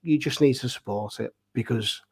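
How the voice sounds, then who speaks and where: A man's voice: medium pitch, normal speed, husky. Earnest middle-aged man in casual discussion. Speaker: pantomime dame and amateur theatre director.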